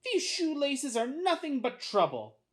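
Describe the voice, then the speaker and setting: A woman's voice: very high voice, sing-song, takes it slowly, voice pushed up, heavily acted. A woman voicing an exasperated little boy in a storybook, plaintive and dramatic, full of exclamations. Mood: fed up. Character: exasperated little boy plagued by untied shoelaces.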